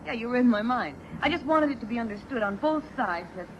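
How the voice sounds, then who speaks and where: An actress playing a wary, slangy young vaudeville performer in an old-time radio drama, halting when flustered. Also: female voice, a little high, animated, natural voice. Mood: guarded, awkward. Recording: muffled, noisy vintage radio broadcast.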